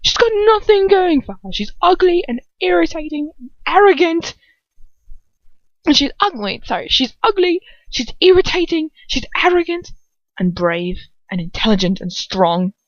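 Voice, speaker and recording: A woman's voice: high-pitched, very animated, moderate pace, dry recording, lo-fi sound, pitched above the performer's own voice. A woman voicing a defiant, sneering young wizard son who mocks his father in an audiobook-style story reading, with emphatic, incredulous delivery. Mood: scornful.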